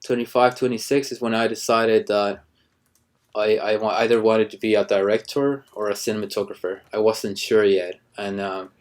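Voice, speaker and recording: A man in his thirties from Ecuador talks in a low, fairly flat voice. He chats loosely as an interview guest, stumbling through restarts and fillers. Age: 30s. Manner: halting, many restarts and fillers, relaxed. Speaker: cinematographer guest. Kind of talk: casual interview chat.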